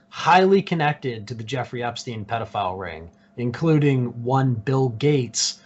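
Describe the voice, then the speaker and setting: A man from Massachusetts explaining his views as a podcast guest in a measured, assertive, conversational way. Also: mid-range voice. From Cape Cod, Massachusetts. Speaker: podcast guest, YouTuber and researcher. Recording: dull lo-fi audio.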